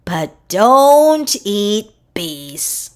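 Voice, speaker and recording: A woman voicing an earnest, boastful pet dog in a children's picture book, with emphatic, drawn-out delivery. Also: medium pitch, sing-song. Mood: emphatic warning.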